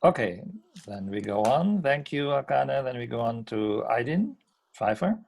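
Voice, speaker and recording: A man calmly introducing students one by one in an online seminar. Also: mid-range voice, a little slow, gravelly. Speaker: professor hosting seminar. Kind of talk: moderating introductions. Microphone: video call.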